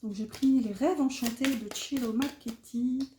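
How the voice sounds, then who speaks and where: Woman doing a chatty tarot reading, super mellow voice, kinda searching for words, roomy echo. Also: on the high side, unhurried, a little hiss or hum. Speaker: tarot reader hosting an online guidance. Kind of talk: casual, improvised tarot reading addressed to viewers. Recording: roomy, echoey sound.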